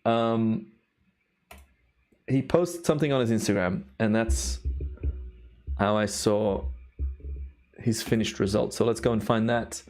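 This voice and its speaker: A man's voice: lowish voice, slow, long pauses, a little mellow. A man hosting a live stream in casual, enthusiastic commentary, his voice a little worn from a long day of recording. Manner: enthusiastic, awed exclamations. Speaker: live stream host, timelapse photographer.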